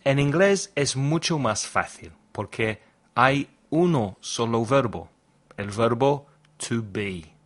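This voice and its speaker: Slow, careful male language teacher. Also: mid-range voice, expressive. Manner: slow, carefully articulated model phrases for repetition. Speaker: English teacher, podcast host. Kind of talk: scripted language lesson with drills.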